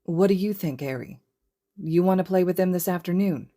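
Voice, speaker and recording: A woman voicing a protective, laid-back teenage boy with a calm, understated manner in a story reading. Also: on the low side, normal speed, voice pushed up, natural voice. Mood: calm, gentle.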